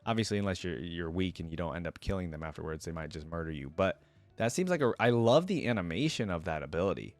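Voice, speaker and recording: Low-voiced man doing casual reaction commentary. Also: lively intonation, quick pace, no echo, faint music behind. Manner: enthusiastic, informal. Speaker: reaction video host. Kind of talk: casual enthusiastic reaction commentary, reading on-screen text aloud.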